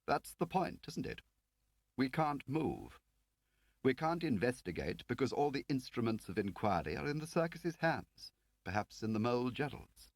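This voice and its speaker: A man's voice: fairly low pitch, no room sound, voice pushed up. A man voicing a haughty, pompous senior government official, in an audiobook. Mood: exasperated. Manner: pompous, drawling emphasis. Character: haughty, fussy senior government official, upper-class Englishman.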